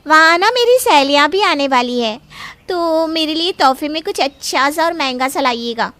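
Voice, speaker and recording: A woman's voice: high voice, expressive, moderate pace, sharp tone, no echo. A woman voicing a spoiled, entitled young sister-in-law in an audio story, pushy and wheedling. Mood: demanding, pouty. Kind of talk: dramatised Hindi story acting.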